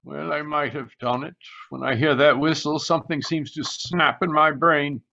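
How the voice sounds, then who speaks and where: Radio mystery colonel: high-strung and bitter, forceful high voice, dull call audio. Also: male voice, steady tone, normal speed, creaky, full character voice. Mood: bitter, agitated. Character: agitated English colonel who fears he is mad.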